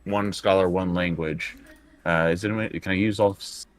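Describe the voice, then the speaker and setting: A man's voice: low voice, fairly even intonation, normal speed, no echo. A man chatting casually as a tabletop roleplaying player, thinking aloud and working through his choices bit by bit.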